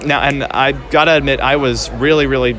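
A man's voice: mid-range voice, fairly even intonation, fairly quick, fairly bright tone. A man hosting a podcast with casual, rambling on-the-spot interviews and chat. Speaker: podcast host.

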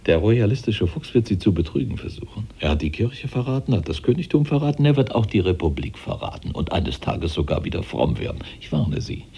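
A man acting in a German radio play as an embittered, suspicious head of a revolutionary government, formal, aggrieved and dramatic, with a husky, dark voice. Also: fairly low pitch, very animated, a little fast, hardly any pauses, exaggerated voice. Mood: warning.

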